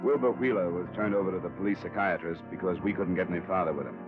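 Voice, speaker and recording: Male actor, low register: hardboiled investigator-narrator, terse and probing, vintage radio crime drama, dull recording with music. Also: fast talker, close to an everyday voice. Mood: matter-of-fact narration. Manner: terse, probing, matter-of-fact.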